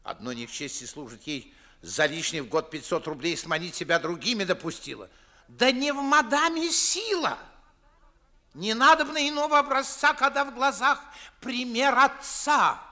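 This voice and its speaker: A man's voice: high voice, bright, forceful tone, full character voice. An older man playing a pompous, grumbling widowed father and official in a 1950s theatrical radio play, scolding in declamatory verse.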